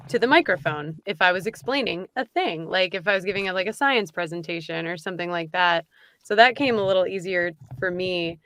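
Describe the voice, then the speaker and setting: A woman's voice: fairly low pitch, fairly bright tone, dry recording. A woman voice actor in casual panel chat, informal and rambling, speaking with enthusiasm.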